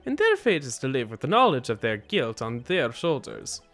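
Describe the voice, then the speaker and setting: A man voicing a cold, formal hired killer from a video game, in a deliberate, pompous manner. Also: mid-range voice, wildly swooping pitch, fairly bright tone, voice pushed down. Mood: indignant, cold. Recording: music behind the voice.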